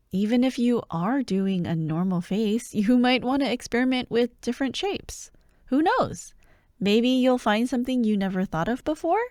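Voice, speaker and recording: امرأة تؤدي شابة مرحة، صوتها عالٍ ومتلوّن النبرة. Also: female voice, fairly high pitch, no room sound, studio quality. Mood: instructive, encouraging. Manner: animated, expressive, teasing, with laughs and sighs. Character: bubbly, bossy young woman, Halloween-loving childhood friend, playfully teasing. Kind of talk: ASMR roleplay, one-sided acted conversation.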